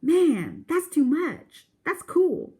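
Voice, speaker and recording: An excitable young boy , voiced by a woman reader, full of curiosity. The voice jumps up high, eager and wide-eyed, in the manner of a storybook read-aloud. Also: very slow, exaggerated voice. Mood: amazed, curious.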